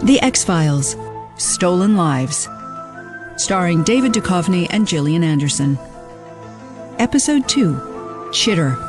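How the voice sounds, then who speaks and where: An announcer delivers scripted titles for an audio drama. The voice sits at a middle pitch with clear music behind it. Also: very animated, takes it slowly, long pauses.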